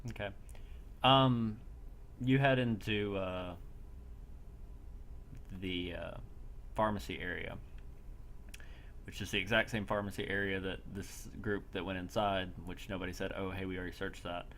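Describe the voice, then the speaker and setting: Blunt, low-voiced man running a role-play game. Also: steady tone, unhurried, long pauses, fairly bright tone. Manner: blunt, casual, swears. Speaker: game master. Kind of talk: narrating a live tabletop-style role play. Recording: noisy online voice chat.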